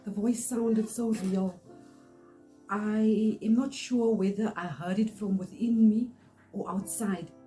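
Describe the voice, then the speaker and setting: A middle-aged woman from South Africa, Afrikaans-speaking, with a South African accent, telling a personal story in earnest, pausing often. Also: mid-range voice, mellow. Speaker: channel host. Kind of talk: personal testimony to camera.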